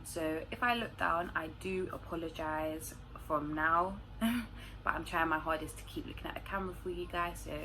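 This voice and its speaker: A woman with a London accent and a low voice talks casually to camera, answering viewer questions. Her way of talking is informal and slangy, and there is some noise in the recording. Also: moderate pace, sharp tone. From London, England. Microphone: phone recording. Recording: clearly noisy room recording.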